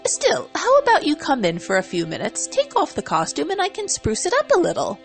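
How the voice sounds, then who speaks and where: Haughty cartoon unicorn lady , acted by a woman: high, refined, fussy and theatrical yet caring, storybook reading over music. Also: wildly swooping pitch, a little fast, full character voice. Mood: condescending, softening. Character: dramatic, haughty, ladylike unicorn pony mare, fussy but caring.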